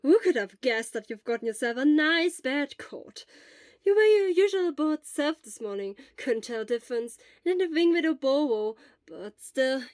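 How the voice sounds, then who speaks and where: A woman voicing a loud, chatty schoolboy. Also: high-pitched, expressive, moderate pace, no echo, clean studio sound, pitched above the performer's own voice. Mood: worried chatter. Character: cheerful, caring teenage schoolboy friend.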